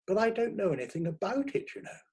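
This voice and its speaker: A man voicing a shy, flustered young man in an audiobook, protesting in a timid, quiet, faltering way. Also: sits high, big pitch swings, quick pace, pitched above the performer's own voice. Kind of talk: audiobook character voice.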